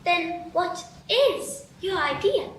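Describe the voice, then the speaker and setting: A woman's voice: extremely high pitch, expressive, slow pace, heavy reverb, far from the mic, slight background noise, full character voice. A woman playing a curious, polite young girl in a live radio play. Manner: polite, questioning.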